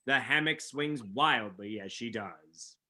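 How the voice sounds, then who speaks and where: Bright, forceful male narrator. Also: medium pitch, slow. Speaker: narrator reading stage directions. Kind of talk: scripted narration.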